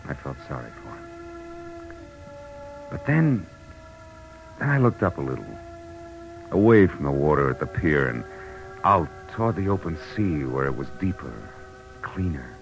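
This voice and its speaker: A man's voice: rumbling low, big pitch swings, moderate pace, long pauses, heavily acted, rough recording. A man with an American accent playing a hard-boiled, reflective private detective in a 1940s radio drama, telling it in a clipped, world-weary way. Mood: somber, reflective. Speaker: lead actor and narrator. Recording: vintage radio recording with music.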